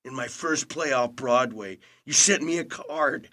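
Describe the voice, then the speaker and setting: An actor in an audio play playing a charming but self-blaming movie star and estranged older brother, measured and quietly intense with dry teasing. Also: male voice, mid-range voice. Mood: wounded, earnest. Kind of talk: intimate audio play acting.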